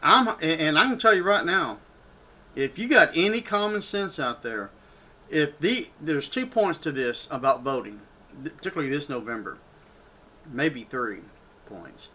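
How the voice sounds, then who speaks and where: Older texan guy going off on a rant, keeps restarting, bright punchy voice. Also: fairly high pitch, animated, normal speed. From Texas. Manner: rambling and heated, with many restarts and asides. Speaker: political vlogger. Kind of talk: off-the-cuff rant.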